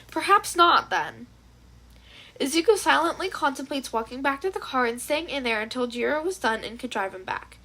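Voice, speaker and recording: A woman's voice: on the high side, fairly bright tone. A woman reading a story aloud as scripted audiobook-style narration. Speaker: fan fiction narrator.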